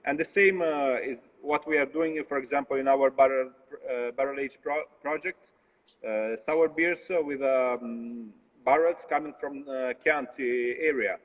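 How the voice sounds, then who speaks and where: A young Italian man speaks in a hesitant, filler-heavy way, often restarting his words as he searches for the right ones. His voice sits at a middle pitch and carries a long reverb, as if from a bare room on a video call. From Italy. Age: young adult. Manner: halting, many fillers and restarts. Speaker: brewer, guest. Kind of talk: casual interview over a live stream.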